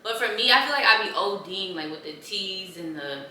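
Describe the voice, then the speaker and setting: A woman's voice: mid-range voice, expressive, a little fast, pushed and bright. A young woman co-hosting a podcast in a laid-back, slangy casual chat, often laughing. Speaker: podcast co-host. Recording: echoey room, mic at a distance, faint background noise.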